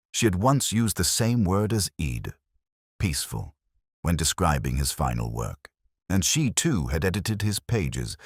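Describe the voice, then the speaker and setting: A man narrating a scripted first-person detective mystery in a measured, solemn, literary manner. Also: extremely low pitch, husky, no echo, studio quality.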